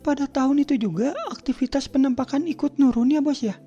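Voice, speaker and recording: A man voicing a naive, excitable cartoon sidekick with a Sundanese accent, playfully asking simple questions. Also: very high-pitched, fairly quick, dull audio, soft background music. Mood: curious. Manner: playful, simple-minded questioning, echoing words. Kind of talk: comic cartoon dialogue.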